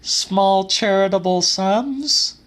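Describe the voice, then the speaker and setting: Man voicing a high, pleading young woman. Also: slow, a bit bright. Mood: defensive. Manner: imploring, emphatic.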